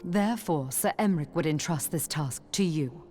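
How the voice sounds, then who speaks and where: A woman playing a composed, dutiful female knightly officer in a video game, speaking in a formal, measured, grave manner. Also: low voice, lively intonation, mellow, slight background noise. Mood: serious, resolute. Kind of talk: scripted video game dialogue.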